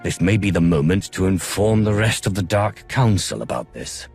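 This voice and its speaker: An actor playing a grave, guarded Sith lord in a video game, measured and serious, with a husky voice. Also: male voice, deep voice, expressive, darkish tone, soft background music, full character voice. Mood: grave, wary. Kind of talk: video game cutscene acting.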